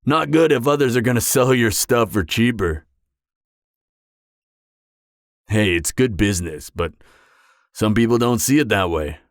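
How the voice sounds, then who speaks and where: A man's voice: on the low side, normal speed, no echo, studio quality. A young man playing a charming, vain businessman supervillain on a blind date in a romantic comedy audio roleplay, talking conversationally and sarcastically to the listener. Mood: smug, chatty. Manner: conversational, animated, exasperated venting with sarcastic edge.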